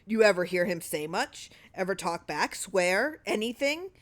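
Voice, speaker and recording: A woman voicing a wily, flattering old male lawyer in an audiobook story, talking in a persuasive, rhetorical way. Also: medium pitch, a little slow, close to an everyday voice.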